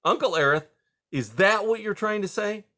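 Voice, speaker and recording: A man's voice: sits high, animated, moderate pace, sharp tone, pitched above the performer's own voice. A man voicing a grown male mouse, a father, who talks patiently but presses for answers. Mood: pleading.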